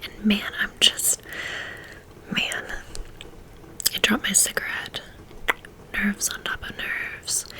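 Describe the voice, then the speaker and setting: A woman reading a first-person novel aloud in a soft, intimate whisper, in a steady ASMR style. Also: mid-range voice, low-quality recording. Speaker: audiobook reader.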